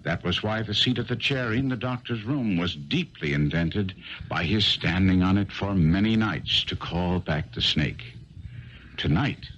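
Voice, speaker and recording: A man playing an assured, grave, analytical gentleman detective, explaining with authority in a radio drama. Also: low-pitched, lively intonation, measured pace. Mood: assured, explanatory. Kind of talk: 1970s radio drama acting. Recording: dull, noisy 1970s radio recording.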